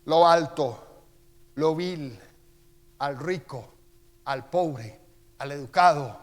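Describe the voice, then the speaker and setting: A middle-aged man with a Costa Rican accent preaching a sermon as pastor. He reads Scripture slowly and with emphasis, then turns quieter as he tells personal stories. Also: a little high. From Costa Rica. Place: church sanctuary. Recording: long church-hall reverb.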